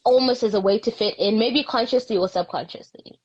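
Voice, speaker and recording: A woman hosting a casual conversation, asking questions and chiming in with quick agreement. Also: fairly high pitch, breathy edge. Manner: relaxed, frequent agreeing interjections. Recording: dull lo-fi sound.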